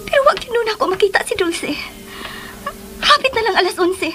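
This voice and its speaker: A woman's voice: extremely high pitch, expressive, brisk. A woman acting in a radio drama as a scheming, gleeful spy who mutters and exclaims to herself in a melodramatic way. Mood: agitated, spying. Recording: studio radio drama over music.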